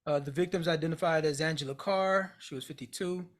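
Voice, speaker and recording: A man with a somewhat high-pitched voice co-hosts a casual conversation. He talks bluntly and profanely, retelling events with animated disbelief. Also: a little fast. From United States. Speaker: podcast co-host. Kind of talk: casual chat.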